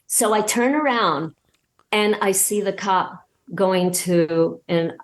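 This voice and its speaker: A woman's voice: mid-range voice, takes it slowly. A woman speaking as a podcast guest, telling things in a casual, earnest, conversational way. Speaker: podcast guest, audio drama creator. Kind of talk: casual interview anecdote.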